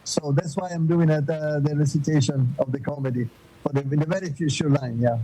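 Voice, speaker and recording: An older man from Florence with a Florentine Italian accent, answering interview questions with many pauses and restarts. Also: a little high, steady tone, a loud crowd, rough recording. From Florence, Italy. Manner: hesitant, many fillers and restarts. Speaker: guest.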